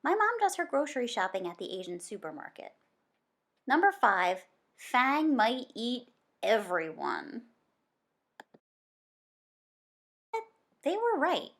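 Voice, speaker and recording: A woman's voice: on the high side, sing-song, measured pace, a bit bright, full character voice. A woman voicing an anxious, boastful schoolboy telling his story with dramatic emphasis. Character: Sam Wu, anxious boastful schoolboy narrator, easily embarrassed.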